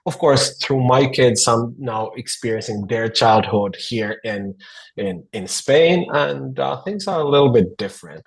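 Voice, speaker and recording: A man in his forties with a Finnish accent, speaking as an interview guest in a halting, easygoing way with many fillers and restarts over a video call. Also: medium pitch, rough recording. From Finland. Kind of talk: casual interview talk.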